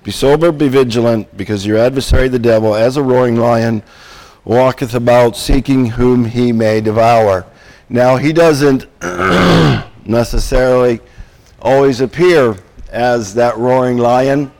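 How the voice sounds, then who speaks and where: A male preacher gives a Sunday sermon in a hoarse, croaky voice worn down by a cold. He speaks slowly and haltingly, pausing on ums and clearing his throat, with a mid-range pitch. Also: a little mellow, poor audio.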